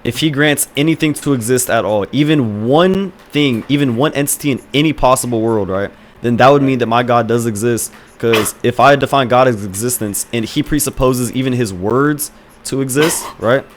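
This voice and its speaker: A man's voice: medium pitch, normal speed, a little hiss or hum. A man arguing hard in a voice-chat debate, crude and trash-talking, speaking into a headset mic.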